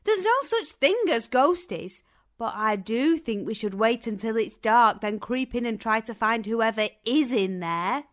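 A woman's voice: on the high side, animated, a little slow. A woman playing a plucky, sensible and bossy young girl who tells her adventures earnestly in a children's radio comedy. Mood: sensible, exasperated. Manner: earnest, exclamatory storytelling.

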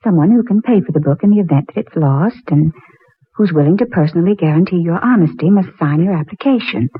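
An actress playing a polite, firm but coy young librarian in a composed, gently teasing 1940s radio drama style. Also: female voice, lowish voice, lively intonation, quick pace, breathy edge. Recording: tinny, narrow-band 1940s radio recording.

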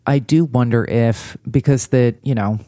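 Mellow man, close dry sound. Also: medium pitch, normal speed, no echo. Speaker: podcast co-host. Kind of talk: casual TV recap discussion.

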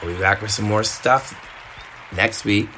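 A man hosting a podcast, signing off in a relaxed, chatty way and calling his listeners "guys". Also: lowish voice, noisy background. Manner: slows down to read a quote. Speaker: podcast host. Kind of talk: casual show sign-off.

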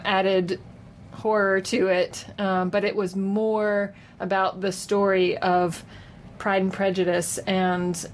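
A woman chatting casually and unscripted as a guest giving a film review, with a hesitant, halting delivery. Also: medium pitch. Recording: noticeable background noise.

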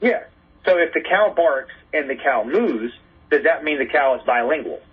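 A man's voice: fairly high pitch, moderate pace, slightly rough. An American man, a sports announcer, chatting casually as an interview guest over a remote call. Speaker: baseball radio play-by-play announcer, podcast guest. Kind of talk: casual anecdotal interview chat. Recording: narrow, telephone-like call sound.

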